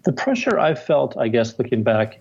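A man's voice: mid-range voice, sing-song, moderate pace, vocal fry, darkish tone, husky, highs cut off. A middle-aged male novelist chatting casually as an interview guest, telling stories in a wry, self-deprecating way. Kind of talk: casual interview chat with anecdotes.

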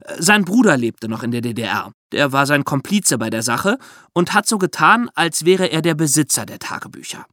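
A man with a fairly high voice and very lively intonation tells history like a story to a friend. He explains as a podcast host in an engaged, conversational way, recorded close and dry. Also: normal speed, few pauses, dry recording.

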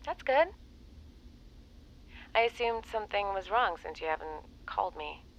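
A woman's voice: medium pitch, animated, normal speed, a little hiss or hum, rough recording. An actress playing an affectionate, teasing young mother and close friend, through a telephone filter in an audio drama. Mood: guarded.

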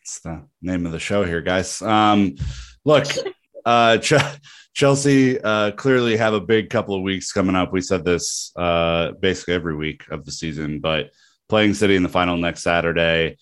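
A man hosting a football podcast, talking in a relaxed, joking way with plenty of fillers. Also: low-pitched, normal speed, smooth. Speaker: podcast host. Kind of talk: casual podcast chat.

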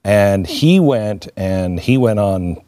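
A man hosting a conversational talk show, thinking aloud and posing questions in a halting way. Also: on the low side, lively intonation, mellow, dry recording, studio quality. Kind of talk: conversational discussion.

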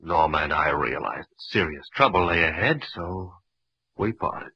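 A dull, dry vintage radio recording of an anxious, evasive fellow. The voice is husky and bright, with very animated intonation and a guarded, hesitant manner in 1940s drama style. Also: male voice, rumbling low, moderate pace, full character voice. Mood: wistful, evasive. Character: evasive, anxious man hiding something from a detective.

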